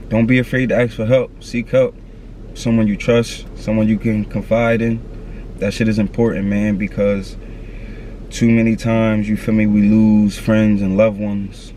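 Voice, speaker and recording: A man's voice: fairly low pitch, mellow, slight background noise. A man in his thirties talking candidly to camera outdoors, earnest and slangy, repeating his advice. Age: 30s. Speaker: vlogger. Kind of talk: candid motivational talk to camera.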